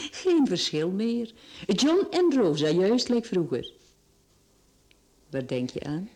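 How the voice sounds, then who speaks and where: An actress with a Flemish accent playing a teasing, flirtatious middle-aged mistress in a radio drama, coaxing and sly. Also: female voice, medium pitch, big pitch swings, slow pace, warm tone. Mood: wistful, coaxing.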